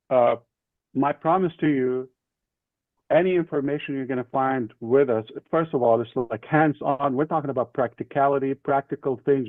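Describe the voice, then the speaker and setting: A man's voice: mid-range voice, no echo. Man motivating a group, thin phone-like sound. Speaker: leader and main speaker of an online group session. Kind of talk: motivational business talk. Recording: thin phone-like call audio.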